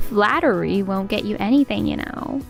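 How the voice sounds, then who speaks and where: A woman's voice: medium pitch, vocal fry. A woman voicing a capable, composed and friendly young businesswoman in a manga dub. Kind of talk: anime-style manga dub acting.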